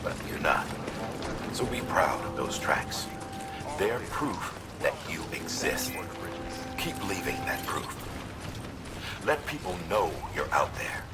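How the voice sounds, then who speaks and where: An actor playing a solemn, fatherly commander in a video game, speaking deliberately and encouragingly. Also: deep voice, very animated, vocal fry, recorded from a distance, over loud music, full character voice. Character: solemn, fatherly male commander rallying a courier. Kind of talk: scripted video game dialogue.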